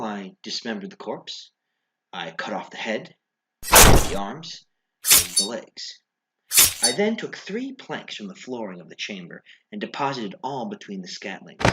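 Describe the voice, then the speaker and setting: A man playing an unhinged, cunning murderer in a gothic horror monologue, with a theatrical, nervous, halting delivery. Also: on the low side, a bit bright, highs cut off. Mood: gloating, cunning. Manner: theatrical, swooping, halting with stammered repeats. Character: unhinged murderer confessing, cunning, nervous, increasingly frantic madman. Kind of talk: dramatic horror storytelling, classic gothic monologue.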